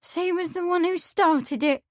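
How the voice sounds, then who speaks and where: A woman's voice: extremely high pitch, unhurried, no room sound, studio quality, pitched above the performer's own voice. Woman voicing Amelia, a tearful young maid. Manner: tearful, pleading, breathy sighs. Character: young lovesick maidservant, tearful and scheming.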